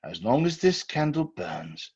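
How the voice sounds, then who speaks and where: A man's voice: a little high, sing-song, measured pace, creaky, a little mellow, breathy edge, dull audio, heavily acted. A man playing a grave, resolute doctor in an old-time radio horror drama, earnest and commanding. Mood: grave warning. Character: grave, resolute male doctor facing supernatural siege.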